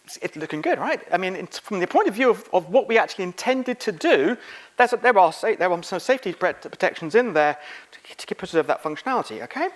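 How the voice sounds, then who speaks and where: A middle-aged man speaking as a conference presenter, giving an informal, halting technical lecture. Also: high voice, sing-song, rough recording. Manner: informal, halting, quizzing the audience. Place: conference room, on stage.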